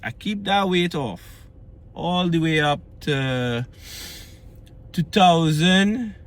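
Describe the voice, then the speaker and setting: A man's voice: fairly high pitch, expressive, slow. A man from Trinidad and Tobago with a Trinidadian accent, talking earnestly in a casual vlog about losing weight and keeping it off, often checking in with "right?". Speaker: fitness vlogger.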